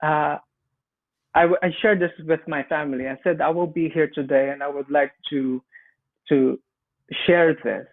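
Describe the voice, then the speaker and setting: A man's voice: on the high side, fairly even intonation. Filipino-accented man on a tinny line. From Philippines. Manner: earnest, halting with frequent restarts. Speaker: immigrant small business owner. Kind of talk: panel discussion storytelling.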